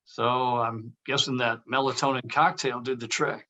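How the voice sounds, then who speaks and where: A man's voice: medium pitch, fairly even intonation, normal speed, pushed and bright, lightly acted, rough recording. A man playing an older actor, a blunt, teasing but caring old friend, in a conversational table read. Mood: friendly. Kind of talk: casual table read of a comedy-drama script.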